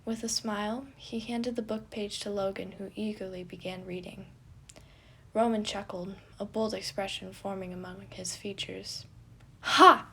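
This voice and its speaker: A woman's voice: medium pitch, steady tone, moderate pace, gravelly, slightly rough. A woman reading scripted fiction aloud as the narrator of an audio story. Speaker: podfic narrator.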